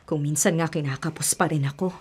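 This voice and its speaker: A woman's voice: deep voice, fairly even intonation, fast talker, husky. A woman acting as a hardworking, self-sacrificing middle-aged widowed mother in a Filipino radio drama, earnest and long-suffering. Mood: resolute, weary.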